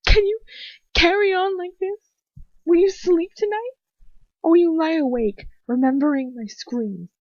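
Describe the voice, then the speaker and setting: A woman acts out a young captive girl from a storybook, desperate yet defiant. Her pleading is tremulous and raised in pitch, on a dull lo-fi recording. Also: unhurried, no room sound. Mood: desperate appeal.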